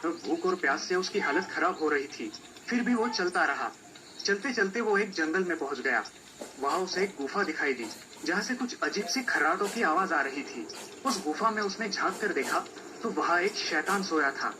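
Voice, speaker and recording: A man's voice: on the high side, animated, normal speed, background noise. A man narrating a scripted children's folk tale as a storyteller. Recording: music behind the voice.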